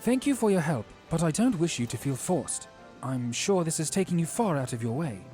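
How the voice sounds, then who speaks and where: A man's voice: fairly high pitch, big pitch swings, a little fast, warm tone, soft background music. A man playing an earnest, courteous fantasy hero and troubled leader, speaking formally and solemnly in an audio drama. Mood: courteous, resigned.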